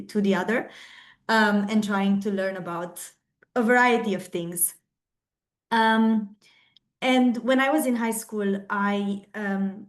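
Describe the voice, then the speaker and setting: A young woman from Romania giving a webinar talk to students in an earnest, thoughtful way, often pausing with "um", over a video call. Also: mid-range voice. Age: young adult. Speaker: webinar speaker.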